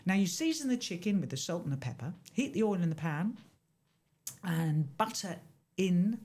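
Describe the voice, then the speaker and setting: Woman, radio presenter, chatty, playful, lowish voice, very lively pitch, studio. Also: normal speed. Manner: chatty and playful, laughs often. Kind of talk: casual radio chat reading a recipe.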